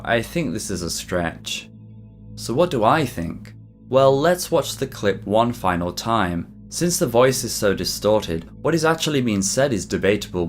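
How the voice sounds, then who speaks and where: A man reading a scripted mystery documentary narration for an online video in a measured, explanatory way. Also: fairly low pitch. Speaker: YouTube narrator. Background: background music.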